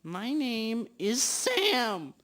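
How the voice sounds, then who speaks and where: A woman playing a loyal, slow-witted detective's sidekick in a radio drama, with hesitant, drawn-out delivery. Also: a little high, very animated, a bit bright, exaggerated voice. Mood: droll. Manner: hesitant, drawn-out, humming before answering. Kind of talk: amateur school radio drama acting.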